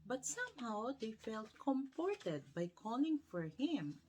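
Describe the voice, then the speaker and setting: Slow, expressive woman reading a bedtime story. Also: fairly high pitch, a little hiss or hum. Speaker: storyteller reading a children's book aloud. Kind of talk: bedtime story read-aloud.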